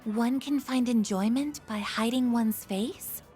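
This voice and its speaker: A high, clearly youthful female android from a video game, played by a woman. She is earnest and curious, choosing stiff, precise words with literal politeness over a faint music bed. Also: measured pace, mellow, lightly acted. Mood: puzzled, matter-of-fact. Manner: formal, stilted, precise wording.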